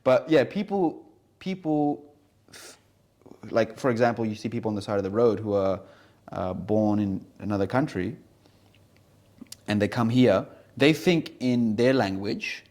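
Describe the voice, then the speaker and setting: A man's voice: fairly low pitch, a little slow, pauses often, darkish tone. A man who grew up in Indonesia, with Urdu as his first language, hosting a casual podcast chat in a loose, jokey, slangy way with the odd swear word. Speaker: podcast host.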